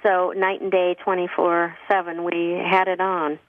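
A woman guest on a call-in radio show, her voice husky from a cough, answering in an earnest, plain-spoken way with frequent restarts. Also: on the low side, level tone, measured pace, no echo. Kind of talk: relaxed conversational interview answers. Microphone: phone line. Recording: tinny distant phone line.

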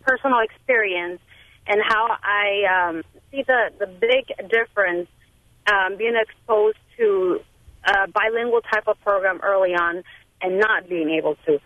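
A woman's voice: medium pitch, measured pace, dry recording. Hesitant woman caller on a phone line. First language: Spanish. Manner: hesitant, many ums.

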